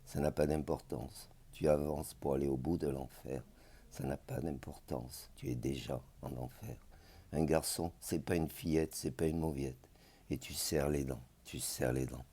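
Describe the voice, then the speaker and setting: Man, low-pitched, dark mellow tone, solemn poetry recital, measured deliberate phrasing. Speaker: spoken-word poet. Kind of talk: scripted spoken-word poetry recital.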